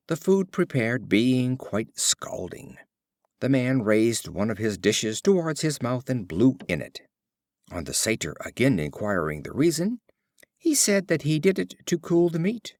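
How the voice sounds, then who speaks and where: A male audiobook narrator reads short fables in a measured, storytelling way. His intonation rises and falls widely, with a mid-range pitch. The sound is dry, with very little room around it. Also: dry recording. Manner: measured, expressive storytelling delivery.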